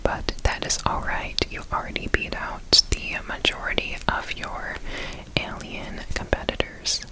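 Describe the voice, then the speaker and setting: A woman whispering an ASMR roleplay as an examiner, gentle and reassuring, repeating words softly in a breathy whisper. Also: a little slow, rough recording. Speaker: ASMR performer playing an examiner.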